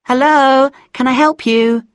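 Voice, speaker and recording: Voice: high voice, fairly even intonation, a little slow, smooth, dry recording, studio quality, voice pushed up. A narrator voicing a polite, businesslike female office secretary in an audiobook story.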